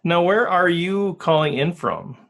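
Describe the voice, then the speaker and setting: Joking male podcast host, slightly high voice. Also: sing-song, unhurried, creaky, a bit bright. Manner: joking, rambling, many restarts. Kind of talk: casual interview.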